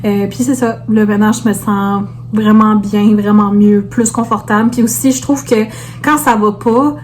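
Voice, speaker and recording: Female vlogger, Québécois accent, medium pitch, relaxed informal chat to viewers with some English slang, light background noise. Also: normal speed. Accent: Québécois French. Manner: informal, peppered with English words.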